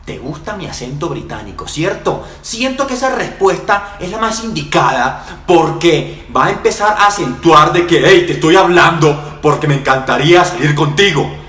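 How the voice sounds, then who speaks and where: A man delivers brash, mocking commentary in a fairly high voice. The recording sounds roomy and echoey, with faint music behind him. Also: lively intonation, fairly quick, pushed and bright. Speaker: channel host commenting on the clip. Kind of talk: voice-over commentary.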